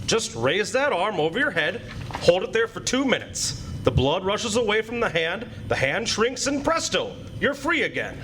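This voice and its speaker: A man's voice: high voice, big pitch swings, moderate pace, fairly bright tone. A man playing a pompous, chortling, mocking neighbour with blustery delivery in an old-time radio comedy. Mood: gleeful, smug. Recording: noisy live stage recording.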